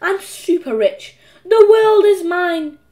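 A woman's voice: high-pitched, sing-song, normal speed, a little mellow. A woman voicing a scheming, greedy young boy in a storybook, with a brazen, grumbling manner. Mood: gleeful, greedy.

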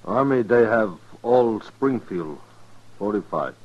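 Slow, terse army scout. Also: male voice, on the low side, expressive. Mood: puzzled, terse. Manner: clipped broken English, terse. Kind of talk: 1950s radio western acting. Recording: dull lo-fi old radio recording.

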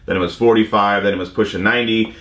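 A man's voice: lowish voice, fairly even intonation, fast talker, fairly bright tone. An American man in his fifties giving an informal, conversational explanation as a vlog host, repeating his key points. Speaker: vlog host, commercial drone pilot. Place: indoor workshop or studio room. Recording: roomy, echoing indoor sound.

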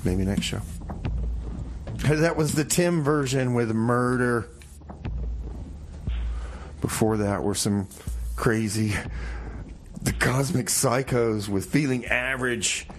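A man's voice: medium pitch, takes it slowly, pauses often. Rambling male radio host, noisy background. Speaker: community radio music show host. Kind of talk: casual radio banter.

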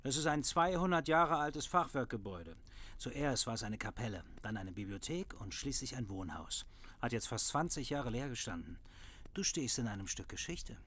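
A man's voice: mid-range voice, animated, moderate pace, fairly bright tone. An actor playing an enthusiastic middle-aged father in an audio drama, jovial and theatrical. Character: enthusiastic, breezy family father. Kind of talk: acted horror audio drama dialogue.

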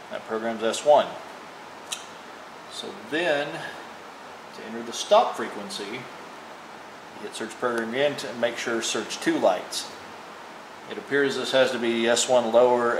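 Male presenter for an unscripted tech walkthrough, talks through each step casually, echoey room with clear background noise. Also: medium pitch. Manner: unscripted, thinking aloud while operating a device. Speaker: presenter of a vintage electronics show. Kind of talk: casual hands-on demonstration. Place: indoors at a table. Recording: roomy, noisy, radio static behind.

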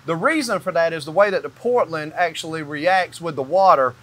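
High-pitched man explaining step by step. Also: a little fast, fairly bright tone. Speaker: company owner explaining construction. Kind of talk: hands-on explanatory demonstration. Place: indoors in a metal-walled barn or shop.

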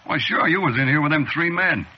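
An actor playing a chatty, folksy bartender who talks with loose colloquial grammar in a 1940s radio drama. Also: male voice, fairly high pitch, brisk, natural voice. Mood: sly, chatty. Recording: lo-fi old radio broadcast, faint hiss.

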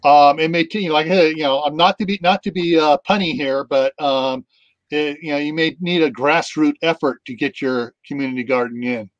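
A man's voice: fairly high pitch, steady tone, brisk, no room sound. A middle-aged man hosting a casual video call chat, rambling with many fillers. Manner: rambling, lots of ums and you knows. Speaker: host. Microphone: webcam on video call. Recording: dull, dry webcam audio.

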